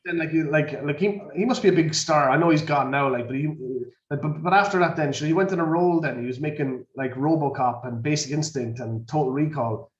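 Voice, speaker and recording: A man's voice: a little high, a little fast, hardly any pauses. A man chatting casually about films, rambling and dropping "like" into most of his sentences. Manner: rambling, peppered with "like". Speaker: co-host of a movie review show. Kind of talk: casual film chat. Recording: distant, dull, echoey mic.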